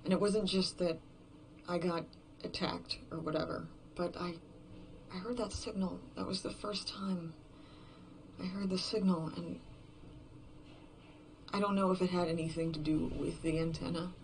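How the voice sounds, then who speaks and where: A despairing lone survivor, played by an actor in a horror audio drama, with a gravelly fry in a mid-pitched voice. The delivery is halting, brooding and confessional, sinking toward whispers. A faint layer of noise sits behind the bitter monologue. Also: fairly even intonation, close to an everyday voice, rough recording. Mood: anxious brooding. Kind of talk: first-person horror fiction podcast monologue.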